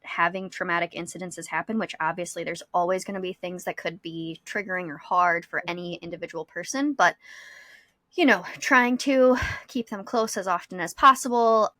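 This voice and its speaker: A woman's voice: lowish voice, sharp tone, no room sound. A young adult woman talking casually and reflectively as a podcast co-host. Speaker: school psychologist. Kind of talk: casual conversational podcast talk.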